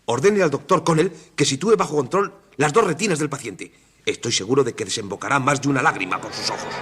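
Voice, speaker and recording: An actor playing a tense doctor in command of a desperate mission in a radio drama. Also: male voice, medium pitch, quick pace, a bit bright, slight background noise, close to an everyday voice. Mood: deliberate, commanding. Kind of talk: vintage Spanish radio drama acting.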